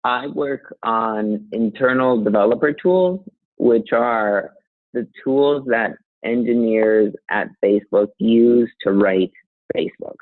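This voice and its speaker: A man in his thirties from Colombia, a guest talking casually about his work, relaxed and laughing lightly, often restarting phrases. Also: mid-range voice, slow pace, no echo. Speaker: guest, software engineer. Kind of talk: casual conversational interview. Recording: tinny phone-like sound, dry.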